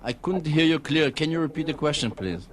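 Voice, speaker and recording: A man from Israel with an Israeli accent, giving formal, diplomatic and halting answers as a radio guest. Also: a little high, animated, a little hiss or hum. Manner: measured, diplomatic, halting with long hesitations. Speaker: embassy spokesman, radio guest.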